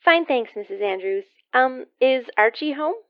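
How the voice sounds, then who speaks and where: A woman's voice: high-pitched, animated, moderate pace. A woman playing Betty, a polite, eager teenage girl heard through a telephone in an old radio comedy. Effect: telephone filter. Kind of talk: 1940s radio comedy acting. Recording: muffled telephone-line sound.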